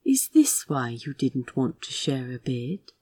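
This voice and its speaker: A woman's voice: very deep voice, sing-song, slow pace, a little mellow, breathy edge, voice pushed down, exaggerated voice. A woman voicing a playful, fussy man in an audio story, with a prim, mock-serious, teasing manner.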